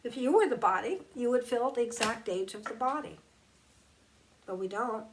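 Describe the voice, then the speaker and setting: A woman gives a reflective spiritual talk and leans on key words at an unhurried pace. Her voice sits a little away from the microphone. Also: a little high, animated, far from the mic, slight background noise, rough recording. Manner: slow, emphatic and conversational, drawing out key words. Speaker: spiritual teacher.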